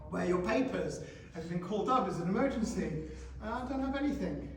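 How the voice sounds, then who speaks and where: A man in his forties speaks to a room of teenagers, often stopping to put questions to them. His voice sits fairly high, and he is picked up from a distance, so the big hall echo swallows his words. A faint murmur of room noise hangs underneath. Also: quick pace. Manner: animated, asks the audience questions. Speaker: guest speaker. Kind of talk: school talk to teenagers. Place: school hall. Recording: echoey hall, speaker far from mic.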